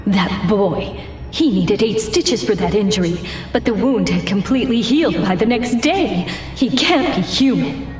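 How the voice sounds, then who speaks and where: An actress plays a fearful, gossiping woman in a game cutscene. Her mid-pitched, suspicious voice rings with heavy echo over faint music. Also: expressive, a little slow, a little mellow, close to an everyday voice. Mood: wary. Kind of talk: video game cutscene voice acting. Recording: very echoey, music behind.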